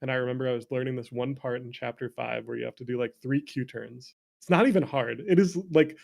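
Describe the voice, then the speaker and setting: A man's voice: medium pitch, fast talker, gravelly, darkish tone. A young man telling stories casually as an interview guest, informal and self-deprecating, peppering his talk with "like". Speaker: interview guest, streamer and former speedrunner. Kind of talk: casual conversational storytelling.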